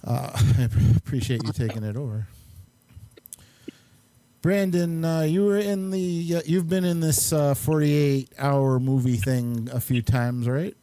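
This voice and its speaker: Man with mellow voice, rambling casually. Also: mid-range voice, a little slow. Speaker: host and amateur filmmaker. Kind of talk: casual chat and movie reviews.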